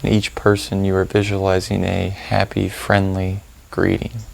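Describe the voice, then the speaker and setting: A man leading a guided meditation, giving gentle, informal instructions with unhurried pauses. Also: low-pitched, monotone, vocal fry, low-quality recording.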